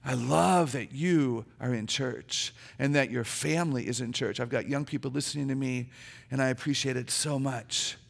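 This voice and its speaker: A middle-aged woman with a naturally low voice, a chatty, humorous and earnest guest speaker giving a sermon with personal stories in a church. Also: moderate pace, creaky.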